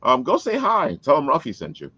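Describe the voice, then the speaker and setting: A man's voice: fairly high pitch, huge pitch swings, brisk, bright, forceful tone, slightly rough, no room sound, lo-fi sound. A man hosting a podcast, chatting casually and enthusiastically as he plugs things to his audience. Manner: enthusiastic, plugging. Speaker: podcast host. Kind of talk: casual promotional chat.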